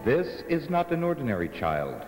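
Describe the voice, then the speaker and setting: A man playing a grave, reflective senior Buddhist priest in an animated film, musing aloud. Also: on the high side, moderate pace. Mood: admiring. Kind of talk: animated film dub acting. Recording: echoey, long reverb, faint music.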